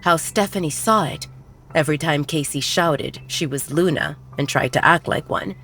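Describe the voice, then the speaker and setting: A woman's voice: low voice, normal speed, fairly bright tone, soft background music. A woman reading scripted audio drama narration as a storyteller.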